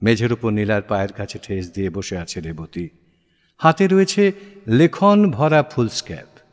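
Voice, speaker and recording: A man's voice: fairly low pitch, expressive, moderate pace. A man narrating an audio play in a dramatic literary style. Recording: long reverb, music behind.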